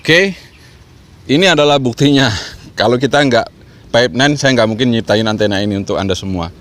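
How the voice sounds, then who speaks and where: A man's voice: medium pitch, a little fast, a bit bright. A man giving an informal instructional vlog talk, encouraging and repeating his points as he explains. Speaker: amateur radio vlogger and trainer.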